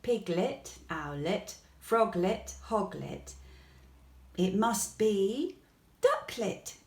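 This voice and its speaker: A woman's voice: medium pitch, big pitch swings, extremely slow, a little mellow, full character voice. A woman voicing a friendly, curious, childlike male dog in a children's bedtime story, inquisitive and pleased with discoveries. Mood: puzzled, musing. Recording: echoey room.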